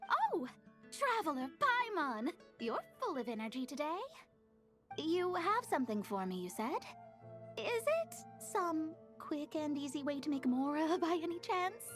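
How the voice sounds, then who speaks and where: Haughty, high-pitched young female student. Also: very animated, unhurried, fairly bright tone, soft background music, full character voice. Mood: pleasantly surprised. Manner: dramatic, sighing, haughty. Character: proud, sarcastic young female astrologer student. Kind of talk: anime game voice acting.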